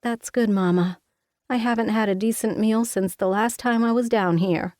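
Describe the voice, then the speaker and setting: Woman doing young tired daughter, soft and gentle, dry close sound. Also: fairly high pitch, moderate pace, no room sound, pitched above the performer's own voice, lightly acted. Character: weary young woman visiting her mother.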